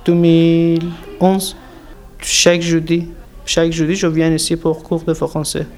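Iranian man speaking halting French. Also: on the high side, monotone, darkish tone. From Iran. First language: Persian. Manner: halting, broken French, hesitant. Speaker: refugee language student. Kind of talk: interview answers.

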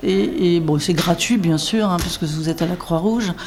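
A woman's voice: lowish voice, moderate pace, darkish tone, a little hiss or hum. A woman coordinating literacy classes, answering interview questions in a rambling way, full of fillers, restarts and tag words. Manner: rambling, many fillers and restarts, emphatic "hein".

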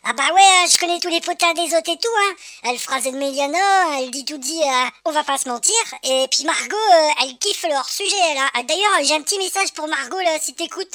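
A woman's voice: sits high, bright, forceful tone, dry recording, studio quality, heavily acted. A woman voicing a cheeky, slangy young male radio fan who rambles with lots of hesitations in a comic phone-in sketch.

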